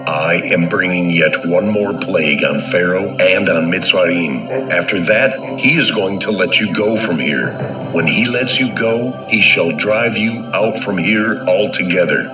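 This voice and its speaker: A very deep, solemn God, voiced by a man, gives commands in a scripture audio drama. The voice echoes over loud music. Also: unhurried. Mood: solemn, commanding. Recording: echoing voice under loud music.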